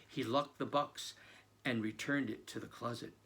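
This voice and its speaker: A man's voice: medium pitch, normal speed, husky. Man reading his own children's novel. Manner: expressive storytelling. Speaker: children's book author.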